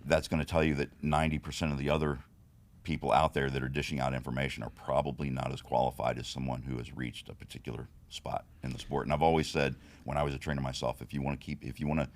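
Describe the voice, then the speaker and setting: A man in his fifties with a deep, raspy voice, talking frankly as a guest in a casual interview. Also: quick pace. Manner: frank, steady storytelling with many "you know"s. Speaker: podcast guest.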